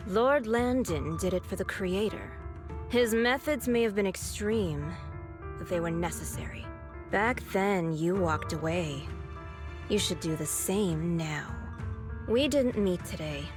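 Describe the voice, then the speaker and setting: A woman playing a cold, composed and resigned young antagonist in a video game, speaking in a measured, curt way. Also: lowish voice, lively intonation. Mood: cold, stern. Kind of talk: scripted video game voice acting. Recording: game music clearly behind the voice.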